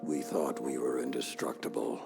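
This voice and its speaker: An actor playing a grave veteran warrior lord, solemn and portentous, with a booming gravelly voice in a video game cutscene. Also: male voice, fairly even intonation. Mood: solemn, mournful. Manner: solemn, portentous, slow delivery. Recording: loud orchestral game music underneath.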